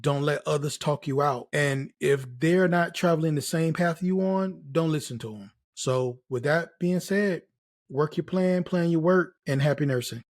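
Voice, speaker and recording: Higher-pitched American man giving encouraging advice. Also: moderate pace. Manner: encouraging, emphatic, repeats key phrases. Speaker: host, nursing career mentor. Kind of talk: casual motivational advice to camera.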